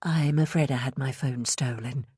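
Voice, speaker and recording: A woman voicing a composed visiting mother in an audiobook, cool and understated, with low dulcet tones. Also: fairly even intonation, normal speed, mellow, husky, no echo, clean studio sound.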